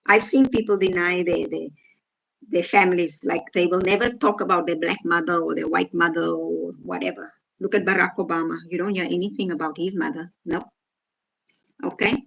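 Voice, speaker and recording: A woman's voice: fairly low pitch, steady tone, normal speed, vocal fry, fairly bright tone, rough recording. A woman from Africa, casually reading out comments and answering them with sarcasm. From South Africa. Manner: sarcastic, reads out and rebuts comments. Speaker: vlogger reacting to comments.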